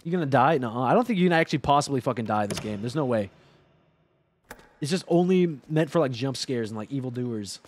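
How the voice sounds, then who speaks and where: A young man in his twenties streaming a horror game from home on a headset mic, joking loudly and swearing as he talks to chat. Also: mid-range voice, fairly quick. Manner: loud, swearing, joking, animated. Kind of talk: casual gameplay commentary with chat. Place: home gaming room, indoors.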